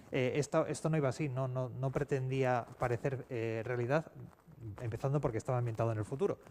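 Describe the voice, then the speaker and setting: A man with an accent from Spain speaking unscripted on a panel in a halting, self-correcting way, as an audio fiction writer. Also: mid-range voice, mellow. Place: public round-table event. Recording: clear background noise, live event ambience.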